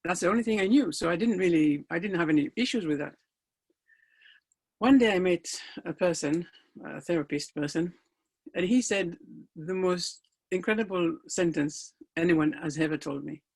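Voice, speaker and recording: High gravelly-voiced coach, non-native speaker. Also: male voice, moderate pace, a little mellow. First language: not English. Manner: earnest storytelling, emphatic rises. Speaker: life coach. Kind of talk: personal motivational talk.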